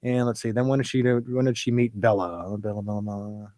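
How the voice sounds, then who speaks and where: A man's voice: fairly low pitch, flat delivery, fairly quick, warm tone, dry recording, studio quality. A man hosting a local radio show, chatting casually and reading a story aloud with many fillers and restarts.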